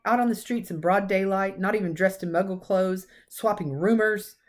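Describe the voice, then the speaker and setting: A woman's voice: mid-range voice, normal speed, natural voice. Lady doing a strict older witch teacher in a kids book, indignant, stresses her words, bit anxious. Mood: irritable, impatient. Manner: emphatic, indignant.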